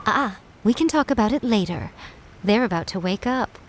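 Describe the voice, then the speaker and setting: A woman's voice: medium pitch, animated, normal speed, noisy background, close to an everyday voice. A woman voicing a poised, sly shrine maiden in a video game, composed, condescending and teasing. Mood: stern.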